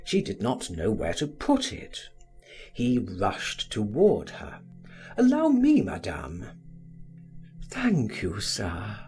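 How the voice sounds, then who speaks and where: Male literary narrator. Theatrical, very wide swooping pitch, unhurried delivery, music underneath. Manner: theatrical, measured, expressive phrasing. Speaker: audiobook reader. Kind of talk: scripted literary narration of a classic novel.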